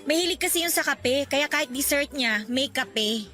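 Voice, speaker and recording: A chatty, frank best friend. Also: female voice, high-pitched, expressive, normal speed, sharp tone, soft background music. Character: young woman, frank protective best friend. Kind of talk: radio drama acting.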